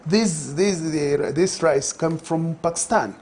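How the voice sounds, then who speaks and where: A man's voice: a little high, slow, darkish tone. An older man with a Zambian accent, a guest in a TV studio interview, talking reflectively with many fillers and restarts. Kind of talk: conversational TV interview. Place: TV studio set. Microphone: lapel.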